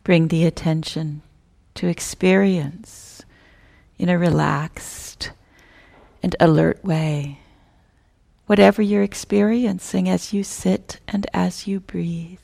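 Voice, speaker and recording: A woman teaching a guided meditation in a measured, gentle manner, with long pauses between phrases. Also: fairly low pitch, steady tone, gravelly.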